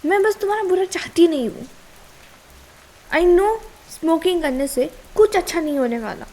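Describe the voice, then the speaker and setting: A woman's voice: very high voice, normal speed, mellow. A young woman with an Indian accent playing a worried, scolding but caring girlfriend in an intimate ASMR roleplay, chiding and pleading as she mixes two languages. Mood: worried, exasperated. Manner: pleading and chiding, switching between Hindi and English.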